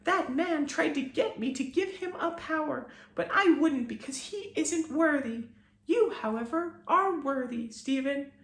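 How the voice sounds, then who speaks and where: A tiny protector fairy speaks in a very high, screechy voice, as a man puts her on. She sounds solemn and gracious, with a lively, swooping delivery. The storybook reading carries a roomy echo. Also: a little slow, full character voice. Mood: grateful.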